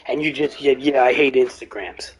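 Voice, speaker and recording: Rambling young man streamer, going hoarse. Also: medium pitch, normal speed, background noise. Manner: rambling, ranting, swears freely. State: voice getting hoarse. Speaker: gaming streamer. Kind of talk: rambling live-stream chat.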